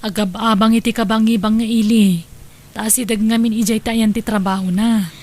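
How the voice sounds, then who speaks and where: A woman playing a caring, steady wife and forgiving stepmother in an audio drama. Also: a little high, normal speed, close to an everyday voice. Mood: earnest, forgiving. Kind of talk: Ilocano audio drama acting.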